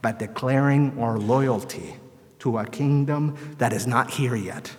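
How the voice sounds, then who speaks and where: A man from El Salvador preaching a sermon in a measured, rhetorical way with deliberate phrasing and repetition. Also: medium pitch. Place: large reverberant church or hall. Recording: echoey hall with long reverb.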